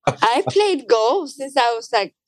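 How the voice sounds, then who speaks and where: A woman's voice: a little high, sing-song, takes it slowly, dry recording, studio quality. A young adult woman from Sweden with a Swedish accent, chatting casually as an interview guest with many fillers and restarts.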